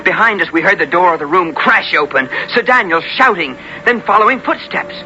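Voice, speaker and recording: A man playing a bold young English gentleman hero in a 1970s radio drama, earnest and theatrical, with archaic formal speech. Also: high-pitched, lively intonation, a little fast, soft background music, exaggerated voice. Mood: tense narrating. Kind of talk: 1970s radio drama acting and first-person narration. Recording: dull 1970s radio broadcast.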